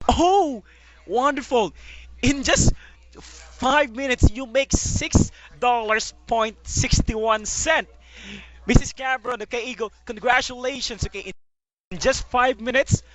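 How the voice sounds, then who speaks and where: A man's voice: very high-pitched, takes it slowly, fairly bright tone, no room sound. A man working as a phone sales agent, coaxing and persuading in slightly broken English on a recorded call. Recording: muffled call recording with music behind.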